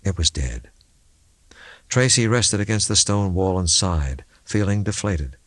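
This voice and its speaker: A man reading a suspense novel as an audiobook narrator, in a measured, dramatic way. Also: deep voice, lively intonation, fairly bright tone, no room sound.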